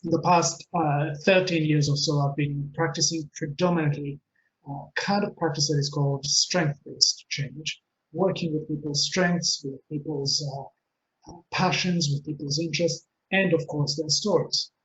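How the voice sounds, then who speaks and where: A man's voice: a little high, steady tone, unhurried, fairly bright tone. A middle-aged man from Israel with a mixed Israeli accent, presenting an earnest webinar talk full of hesitations and fillers. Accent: Israeli, mixed with Texan, Scottish and London. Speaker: webinar speaker and coach. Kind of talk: presenting a pre-recorded webinar. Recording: dull lo-fi webinar audio, faint hiss.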